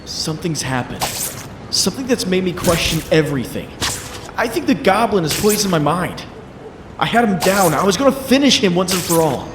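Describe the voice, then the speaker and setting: Man voicing young male superhero, introspective, wry, heartsick, fairly high voice, comic-dub drama, very noisy backdrop. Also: very animated, normal speed. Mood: brooding, wistful. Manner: introspective monologue with wry quips. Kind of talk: dramatic comic-dub narration and character acting. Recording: noisy, echoey, ambience behind.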